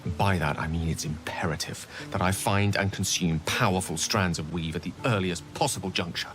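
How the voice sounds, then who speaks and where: An actor playing a courteous, learned wizard with a grave secret, speaking in formal, wordy video game dialogue. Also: male voice, sits low, fairly quick, close to an everyday voice. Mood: grave, earnest. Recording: music and rain behind the voice. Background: music, rain.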